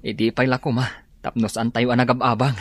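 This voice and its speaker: A man's voice: medium pitch, level tone, fast, dry recording, lightly acted. A man playing an earnest, easygoing young working-class older brother in a radio drama, with a Filipino Ilocano accent. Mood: relieved. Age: young adult.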